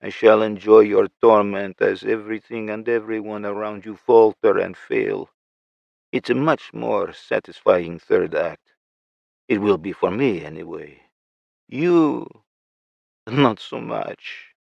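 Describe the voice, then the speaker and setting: A man voicing a gloating male villain with a Russian accent, taunting and mocking in a slow, drawn-out way. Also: fairly low pitch, vocal fry, no echo, heavily acted. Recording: muffled lo-fi recording.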